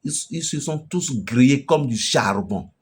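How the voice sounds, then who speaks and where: A man from Benin with a Beninese French accent, preaching an emphatic, storytelling message to a fellow pastor. His voice swells loudly at the climaxes. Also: medium pitch, animated, measured pace, slightly rough. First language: a local Beninese language.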